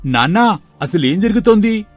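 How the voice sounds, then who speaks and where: A woman's voice: medium pitch, lively intonation, moderate pace, dry recording, faint music behind, lightly acted. A woman voicing a deaf young village son asking bewildered questions. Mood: confused.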